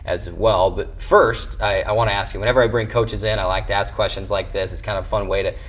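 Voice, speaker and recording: A man's voice: on the low side, steady tone, fast talker, a bit bright. A man hosting a conversational sports interview, asking wordy, meandering questions. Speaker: show host and interviewer.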